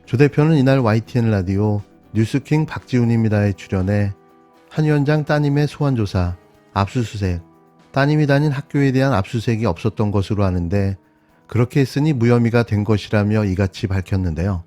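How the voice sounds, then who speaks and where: A man with a mellow, relaxed, dark voice reads a scripted news commentary at a steady pace, his tone sharply opinionated. Faint music plays beneath him. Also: on the low side, fairly quick.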